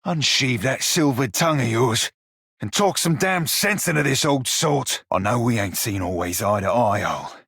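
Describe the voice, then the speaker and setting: A man voicing a sly, foul-mouthed pirate shiphunter in a video game, husky, with a pirate-style dialect and salty seafaring slang. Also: medium pitch, lively intonation, moderate pace, fairly bright tone, no room sound, studio quality. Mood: agitated, indignant. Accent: pirate-style English dialect. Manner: salty seafaring slang, colourful cursing, wry. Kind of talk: video game character dialogue.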